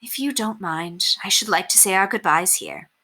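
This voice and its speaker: A woman's voice: medium pitch, normal speed, sharp tone. A woman voicing a kind, earnest young governess with proper, polite phrasing in a storybook reading. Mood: warm, reluctant.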